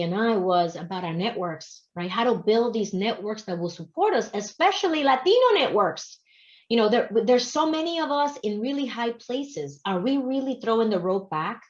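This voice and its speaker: A woman's voice: mid-range voice, expressive, moderate pace. A woman in her forties moderating a panel over a video call, affirming and summing up her guests' stories. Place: home office with bookshelves. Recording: dull lo-fi video call audio.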